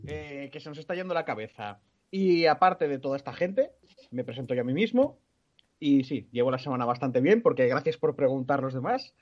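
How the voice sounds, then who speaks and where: Brief: male podcast host, mid-pitched, chatty and rambling. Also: very animated, fairly quick, darkish tone, dull audio. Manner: rambling, laughs often. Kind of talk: casual podcast chat.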